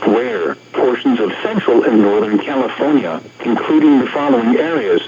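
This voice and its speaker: A man's voice: mid-range voice, wildly swooping pitch, moderate pace, vocal fry. A synthetic American male announcer reads scripted weather reports in an impersonal, segmented way over the radio. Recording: muffled, noisy weather radio broadcast.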